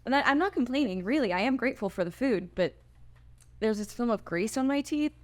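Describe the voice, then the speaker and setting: A woman's voice: a little high, animated, brisk, natural voice. An actress playing an earnest, outspoken modern woman out of place in a fantasy screenplay table read. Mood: wry.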